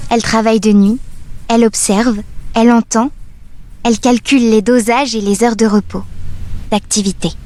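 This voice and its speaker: A woman playing a watchful doll who narrates an audio drama in a measured, tender, descriptive way. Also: fairly high pitch, a little mellow, a little hiss or hum, close to an everyday voice.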